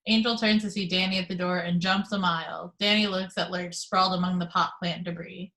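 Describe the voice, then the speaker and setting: A woman reading the action descriptions of a screenplay in a steady, matter-of-fact way on a video call. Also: on the low side, a little fast.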